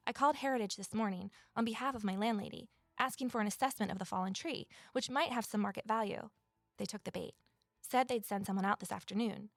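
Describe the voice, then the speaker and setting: An actress playing an earnest woman amateur investigator in an audio drama, talking in a gentle, sincere, conversational way. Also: mid-range voice, vocal fry, no echo, close to an everyday voice. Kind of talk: audio drama dialogue and first-person narration.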